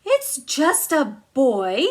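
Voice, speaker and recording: A woman voicing a bossy giant wife who scolds in a shrill voice. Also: huge pitch swings, extremely slow, heavily acted. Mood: scolding.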